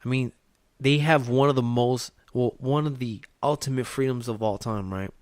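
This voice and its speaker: A man's voice: medium pitch, moderate pace, no echo, studio quality. A man whose first language is Spanish, hosting a podcast and giving a blunt, profane motivational talk full of rhetorical questions. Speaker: podcast host. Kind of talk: motivational monologue.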